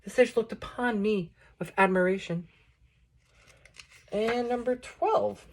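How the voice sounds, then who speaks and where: A woman reads verse aloud with a middle-pitched voice. She recites in a measured, declamatory way, setting out each short phrase on its own as a poetry reader would. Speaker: poetry reader introducing a book. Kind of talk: recited poetry reading with short spoken introduction.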